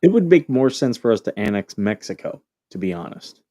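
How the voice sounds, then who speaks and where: An American man co-hosting a podcast, making measured remarks in a casual political chat. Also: fairly low pitch, very animated, warm tone. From United States.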